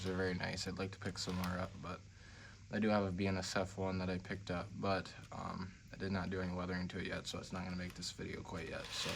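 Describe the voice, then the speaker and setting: An American man hosting a hobby video in casual, unscripted talk, with a slightly raspy voice and a low-key, plain-spoken manner. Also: a little hiss or hum. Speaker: model railroad video host.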